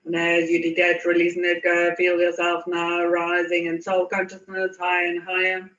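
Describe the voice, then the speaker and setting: A woman leading a guided meditation in a soothing manner, with unhurried pauses and repeated phrases. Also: fairly low pitch, monotone, fairly bright tone. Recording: muffled, echoey, distant from mic.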